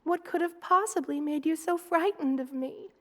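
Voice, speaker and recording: A woman voicing a gentle, doting spider queen in an intimate ASMR roleplay, soothing and tender in manner. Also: high voice. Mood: startled, flustered. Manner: soothing, tender, coaxing, with slow careful delivery.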